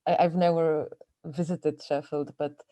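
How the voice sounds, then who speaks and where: A woman's voice: low voice, flat delivery, measured pace, dark tone. A woman whose first language is Slovenian, interviewing an author in English and searching for her words. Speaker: translator interviewer. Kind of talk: interview questions.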